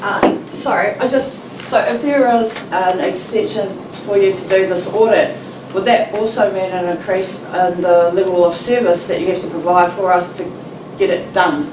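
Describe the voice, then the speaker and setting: A woman's voice: mid-range voice, steady tone, moderate pace. A woman, a councillor asking a question in a meeting. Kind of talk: meeting discussion. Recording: echoey chamber from distant mic, background noise.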